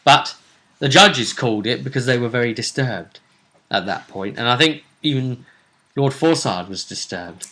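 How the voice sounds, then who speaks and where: A man's voice: medium pitch, moderate pace, pushed and bright. A man casually recapping a fantasy role-play tournament as host, often hesitating and repeating phrases as he recounts it.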